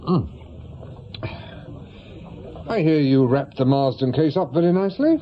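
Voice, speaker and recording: A retired British police detective in a radio drama. He questions hesitantly and with understated doubt, his pitch moving freely. The recording is slightly dull. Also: lively intonation, measured pace, a little hiss or hum. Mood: mildly curious. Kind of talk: naturalistic radio drama acting.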